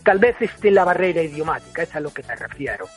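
A man's voice: on the high side, very animated, fast, soft background music. An Irish man whose first language is English, speaking hesitantly with many fillers, polite but critical. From Ireland.

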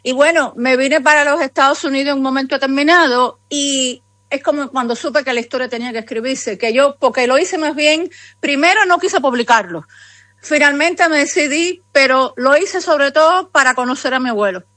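High-voiced Cuban woman telling her story. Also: moderate pace, dry recording. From Cuba. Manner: rambling, warmly conversational, many asides. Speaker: guest author. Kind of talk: remote interview conversation.

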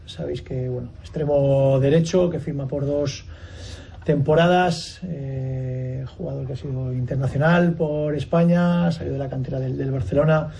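A man's voice: medium pitch, fairly even intonation, moderate pace, gravelly, warm tone, highs cut off, slight background noise. A man introducing a new player at a press conference, hesitant with many fillers. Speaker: football director at a press conference.

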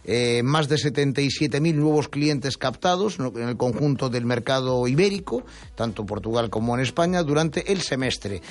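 A man's voice: mid-range voice, lively intonation, moderate pace. A man hosting a radio show, chatting and reading news with many fillers and restarts. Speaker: radio host. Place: radio studio.

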